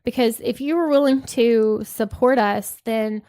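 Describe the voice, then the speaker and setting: A woman in her thirties co-hosting a podcast, soft-spoken and a little nasal, pausing often with ums as she gathers her thoughts. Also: a little high, dry recording, clean studio sound. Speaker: podcast co-host. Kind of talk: casual podcast chat.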